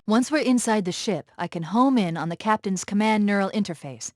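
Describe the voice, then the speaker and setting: An actress playing a brisk, sharp-witted female military artificial intelligence in a video game, speaking in a crisp, purposeful and articulate way. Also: medium pitch, animated, very noisy, close to an everyday voice. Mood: focused, businesslike. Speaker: AI companion giving tactical guidance. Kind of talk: scripted video game voice acting.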